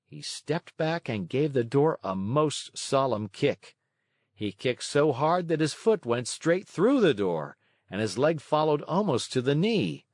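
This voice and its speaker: Male children's story narrator: lively intonation, measured pace, scripted read-along delivery. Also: a little high, dry recording, clean studio sound.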